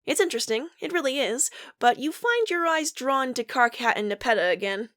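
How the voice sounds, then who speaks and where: A woman with a fairly high voice narrates a story as a podfic reader. The recording is dry and close, with no room sound around her words. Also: expressive, brisk, fairly bright tone, no echo. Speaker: podfic voice actor. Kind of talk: reading fan fiction aloud with acted dialogue.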